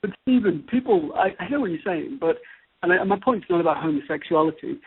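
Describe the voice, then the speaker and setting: A man speaking as an astrological reader in a conversational debate, choosing words in a measured, halting, deliberate way. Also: high-pitched, expressive, slightly rough, dry recording. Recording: tinny, band-limited radio or phone-line audio.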